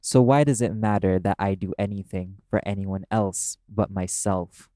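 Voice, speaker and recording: A man's voice: sits low, steady tone, moderate pace, dark tone, no echo, lightly acted. A man playing a brash, cynical male demon who speaks bluntly and scornfully in an audio roleplay. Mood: cynical, dismissive. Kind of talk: dramatic audio roleplay addressed to the listener.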